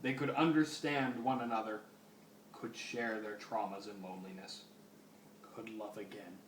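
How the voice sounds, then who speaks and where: A man's voice: lowish voice, steady tone, moderate pace, slight background noise. A man giving a mock-serious, theatrical dramatic reading of fan fiction. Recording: roomy, distant mic under loud music.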